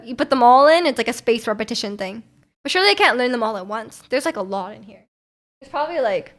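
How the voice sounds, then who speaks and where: A woman's voice: fairly high pitch, fairly bright tone. A woman in her twenties, a native Japanese speaker, chatting casually to livestream viewers in a giggly, rambling, self-deprecating way. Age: 20s.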